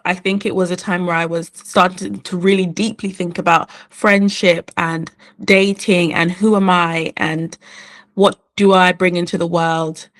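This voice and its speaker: A woman who was born in the UK and grew up in Ireland and England, speaking as a cartoonist guest in a podcast interview. She talks in a thoughtful, unhurried way with frequent restarts. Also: lowish voice, a bit bright. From born in the UK, raised in Ireland and England. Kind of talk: reflective conversational interview.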